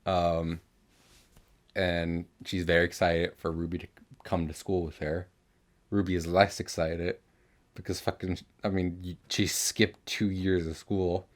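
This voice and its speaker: Young adult man, low pitch, casual podcast host, rambling recap, sweary. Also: level tone, a little slow. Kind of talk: casual chatty recap of an animated series.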